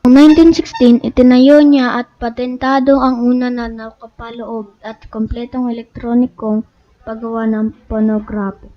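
A Filipino woman reading a scripted educational narration aloud, stumbling over some words. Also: fairly high pitch. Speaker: narrator of an educational video channel. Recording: dull lo-fi recording.

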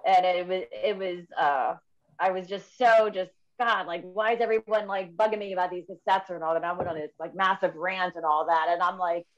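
A woman's voice: on the low side, fairly quick, highs cut off. Rambling fifty-something woman on a video call. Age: 50s. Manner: rambling, frequent fillers and restarts. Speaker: metal musician. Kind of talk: casual video call chat. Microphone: video call webcam.

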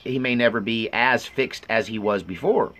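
A middle-aged man giving an unscripted personal update in a halting, earnest, confiding way. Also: mid-range voice, fairly bright tone, slight background noise. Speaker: vlogger.

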